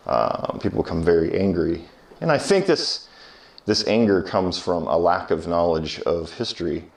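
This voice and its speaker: Deep-voiced man musing on history. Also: expressive, creaky. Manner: measured and reflective, with many pauses and restarts. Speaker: history teacher. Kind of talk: solo talk to camera.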